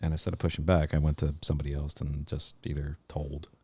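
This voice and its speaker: A man's voice: very deep voice, monotone, fast talker, dark tone, husky, dry recording. A man hosting his own podcast livestream, chatting casually and rambling with lots of fillers and restarts. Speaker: podcast host.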